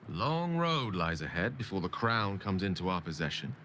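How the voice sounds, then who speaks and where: A man's voice: sits low, animated, moderate pace, bright, forceful tone, a little hiss or hum. A man playing an eloquent, ambitious and embittered wizard in a video game, speaking with earnest, theatrical and formal articulation. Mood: earnest, persuasive. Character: ambitious, eloquent, embittered male wizard pleading his case. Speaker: video game companion character. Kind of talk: dramatic game dialogue acting. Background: background music.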